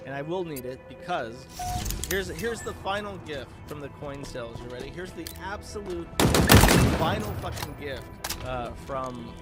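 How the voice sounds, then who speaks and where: A man streaming in a sarcastic, profane, exasperated rant, often halting mid-thought. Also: on the high side, lively intonation. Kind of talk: ranting game-stream commentary. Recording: loud game music and gunfire behind voice. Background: video game music and gunfire.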